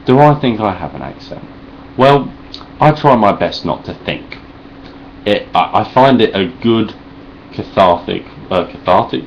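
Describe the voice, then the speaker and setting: Deep-voiced sweary Cockney Essex man. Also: dull audio, a little hiss or hum. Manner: deadpan, sarcastic, rambling, heavy swearing. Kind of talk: sweary deadpan vlog response.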